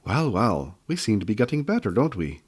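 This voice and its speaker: A man voicing a cranky old female gnome healer who scolds and bosses in a sharp, snappish way. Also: lowish voice, sing-song, brisk, breathy edge. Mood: scolding.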